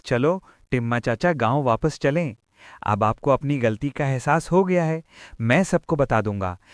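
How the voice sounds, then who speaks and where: A man voicing a clever, kind young village boy in a cartoon moral story, speaking in an earnest, gently admonishing way. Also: mid-range voice, lively intonation, unhurried, no room sound, studio quality, voice pushed up, natural voice. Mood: earnest, reassuring.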